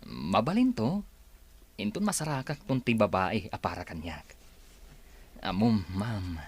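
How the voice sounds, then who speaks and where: A man's voice: fairly low pitch, big pitch swings, measured pace, slightly rough, heavily acted. An actor playing a shy, earnest handyman who is courting, in a radio drama, with a hesitant manner and a Filipino accent. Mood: earnest, hopeful.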